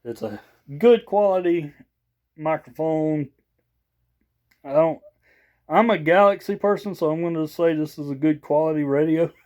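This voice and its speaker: A man's voice: on the high side, measured pace, long pauses, a little mellow. Folksy man, a little tired. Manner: folksy, rambling, conversational. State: a little tired after work. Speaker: vlogger showing off a new CB radio. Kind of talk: casual unscripted product show-and-tell.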